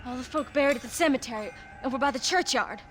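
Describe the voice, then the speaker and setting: A woman's voice: sits high, fast, a bit bright, soft background music. A woman playing a blunt, morbid young frontier girl with a drawl in a game cutscene. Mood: matter-of-fact. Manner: drawling, matter-of-fact about horrors. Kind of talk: video game cutscene acting.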